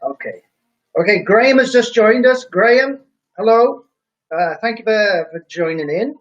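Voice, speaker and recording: A high-pitched, chatty man whose faint Welsh lilt is blurred by years of English living hosts a relaxed live stream, reading viewer comments aloud and teasing them with quiz questions. The audio is dull and lo-fi. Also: measured pace. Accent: faint Welsh mixed with English. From Wales. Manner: chatty and jokey, quizzing viewers.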